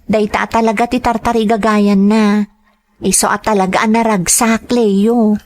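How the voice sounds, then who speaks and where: A woman's voice: medium pitch, flat delivery, measured pace, a little mellow, natural voice. An actress playing an affectionate, independent young Ilocano woman fresh out of college in a radio drama. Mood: delighted. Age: young adult.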